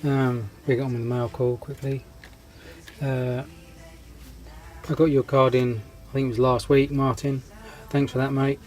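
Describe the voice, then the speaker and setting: A man's voice: medium pitch, flat delivery, a little slow, darkish tone. A man with a cold and a fading voice, hosting a hobby channel, giving a casual, hesitant update full of ums and restarts. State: congested with a cold, losing his voice. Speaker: scale model hobby channel host. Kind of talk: casual rambling vlog update.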